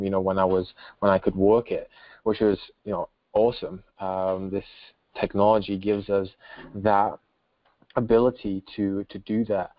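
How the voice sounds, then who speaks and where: A young man introduces himself on a remote call, measured and pausing as he goes. His voice is fairly low and stays very even, over dry, slightly dull call audio. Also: no room sound. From Manchester, England. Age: 20s. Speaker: company founder.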